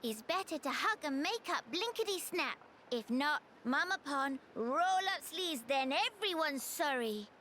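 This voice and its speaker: A woman voicing a small, excitable, bossy creature girl in a video game, speaking in cute broken third-person grammar with mangled words. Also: high voice, measured pace, a little hiss or hum, heavily acted. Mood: chirpy, scolding. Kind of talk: video game character acting.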